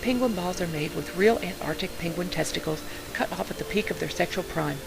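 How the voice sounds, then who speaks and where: A woman's voice: on the low side, fairly quick. Woman ad announcer, hissy recording. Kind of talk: scripted parody commercial. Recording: clearly noisy, hissy track.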